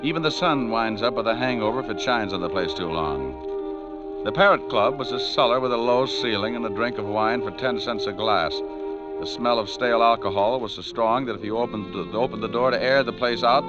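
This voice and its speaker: An actor playing a wry, hard-boiled private detective, dry and sardonic, in a 1940s radio noir drama. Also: male voice, medium pitch, close to an everyday voice. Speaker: lead actor and narrator. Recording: dull vintage radio recording.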